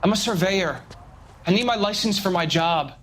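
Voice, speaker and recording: An actor playing a nervous, defensive American suspect in a crime video game, making excuses in a pleading, anxious way. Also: male voice, high-pitched, expressive, moderate pace, fairly bright tone.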